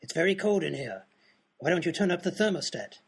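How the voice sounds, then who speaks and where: A man acting as a mysterious trench-coated stranger in a radio comedy. His fairly high voice is very rough and gravelly, and he speaks with formal, deliberate courtesy. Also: sing-song, exaggerated voice. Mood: mysterious, courteous.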